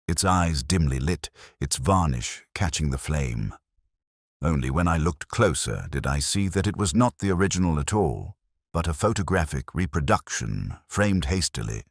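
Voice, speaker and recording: A man reading a first-person detective story as scripted narration, in a measured, literary storytelling manner. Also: very deep voice, no room sound, studio quality.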